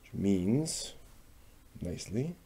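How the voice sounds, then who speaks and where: A man casually thinking aloud as he works on a craft, muttering to himself in short, unhurried phrases. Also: lowish voice, big pitch swings, dark tone, a little hiss or hum. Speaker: maker hosting a craft workshop video.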